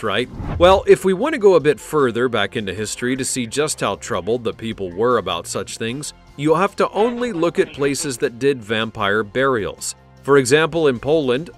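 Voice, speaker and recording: A man reading a scripted countdown narration in a dramatic storytelling way, with wry conversational asides. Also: mid-range voice.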